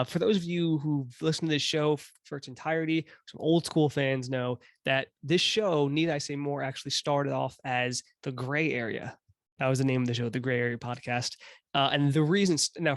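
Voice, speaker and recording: A man's voice: medium pitch, no room sound, studio quality. A man around thirty hosting a podcast, talking casually in a rambling, joking and self-deprecating way, cursing now and then. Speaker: podcast host.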